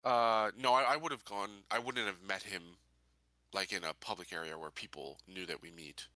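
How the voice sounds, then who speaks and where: A man's voice: low voice, normal speed, pushed and bright, no echo, poor audio. A man playing a tabletop roleplaying game, thinking aloud casually and correcting himself as he works out his character's choices.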